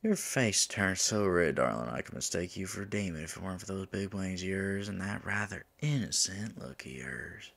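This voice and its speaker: A man acts out a flirtatious male demon in an intimate ASMR roleplay, smug but smitten. His deep drawl crackles with fry and swings expressively as he teases, slipping into breathy murmurs. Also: lively intonation, normal speed, hardly any pauses, fairly bright tone, heavily acted. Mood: playful, flirtatious.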